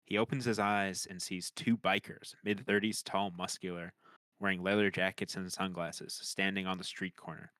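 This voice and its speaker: A man's voice: low-pitched, level tone, normal speed, fairly bright tone, no room sound. A man narrating the stage directions of a comedy screenplay in a scripted read.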